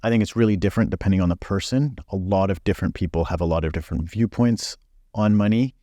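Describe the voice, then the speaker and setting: A man's voice: low-pitched, fast, dark tone, no echo, studio quality. A man in his forties, born in Belgium, speaking frankly as a podcast guest with many fillers. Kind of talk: casual interview chat.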